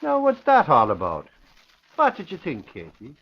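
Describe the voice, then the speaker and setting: A man's voice: on the high side, wildly swooping pitch, normal speed, full character voice. A man playing a nosy, teasing, blunt newspaper office worker in an old radio drama. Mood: teasing, suspicious. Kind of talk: 1940s-50s radio drama acting. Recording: dull lo-fi vintage radio recording.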